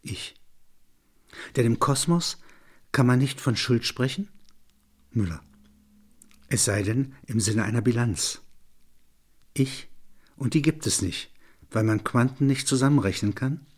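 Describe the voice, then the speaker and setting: A man's voice: medium pitch, unhurried, pauses often, slightly rough. A man reading a literary dialogue aloud and commenting on it.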